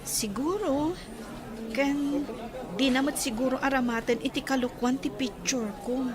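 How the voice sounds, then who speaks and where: A woman's voice: high voice, unhurried, a crowd in the background. A woman with an Ilocano accent playing a hopeful, dutiful young working student in a radio drama. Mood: unsure.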